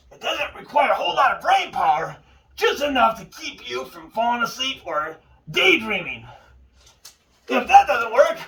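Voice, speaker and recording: A man's voice: extremely high pitch, sharp tone, far from the mic, poor audio. A man presenting an upbeat tutorial as an instructor, with goofy, exaggerated exclamations and asides.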